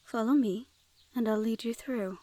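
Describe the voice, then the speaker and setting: A woman's voice: fairly high pitch, normal speed, mellow, close to an everyday voice. A woman playing a wary, teasing Fae border guard in a fantasy audio roleplay, with a playful, sardonic and haughty manner. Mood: formal, assured.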